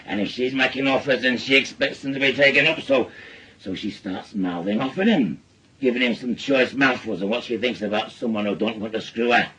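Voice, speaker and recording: An actor playing a bitter, menacing wronged husband in a radio drama, with a Northern English accent and a goading, rambling way of telling things. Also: male voice, mid-range voice, brisk, a bit bright, slight background noise, close to an everyday voice, low-quality recording. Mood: sardonic, bitter. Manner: goading, rambling storyteller with taunting tag questions. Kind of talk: naturalistic radio drama acting.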